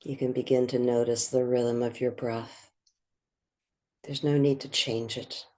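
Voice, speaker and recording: A meditation guide speaking in a gentle, contemplative, soothing and measured way, with long pauses between phrases. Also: mid-range voice, flat delivery.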